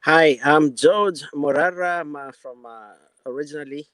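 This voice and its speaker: A man's voice: a little high, normal speed, fairly bright tone, smooth. Rambling man on a phone. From Kenya. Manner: rambling, many fillers and restarts. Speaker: guest. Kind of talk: casual interview.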